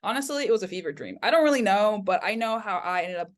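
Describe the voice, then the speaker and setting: A woman's voice: medium pitch, lively intonation, fast. A woman in her twenties from Taiwan, a podcast guest talking in a chatty, candid way. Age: 20s. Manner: chatty, candid, laughing easily. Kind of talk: casual interview.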